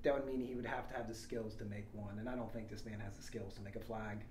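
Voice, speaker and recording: A man's voice: fairly low pitch, fairly even intonation, quick pace. A man hosting a podcast in a casual, rambling way, with dry, joking asides. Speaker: podcast host. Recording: echoey room, faint background noise.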